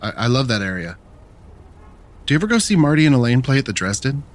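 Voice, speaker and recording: An actor playing an easygoing man on a date, talking gently and reassuringly in a naturalistic fiction podcast. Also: medium pitch, big pitch swings, fast, lightly acted. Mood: flirty, easygoing.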